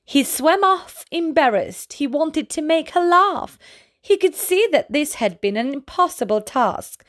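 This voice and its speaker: A woman reading a picture book aloud for children at bedtime. Her voice sits high and moves a lot, and she reads slowly and gently, lingering on each line. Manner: gentle, expressive, unhurried. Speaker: storyteller.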